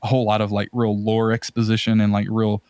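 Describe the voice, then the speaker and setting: A man's voice: lowish voice, monotone, fast talker, a little mellow, dry recording. Man chatting in a close, dry recording. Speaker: podcast host. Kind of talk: casual podcast conversation.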